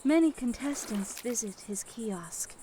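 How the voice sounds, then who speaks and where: A woman playing a frail, solemn water-folk scout in a fantasy audio drama, speaking haltingly. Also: on the high side, lively intonation, mellow, a little hiss or hum. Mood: weary, solemn. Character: frail, stranded female Zora scout of a river people.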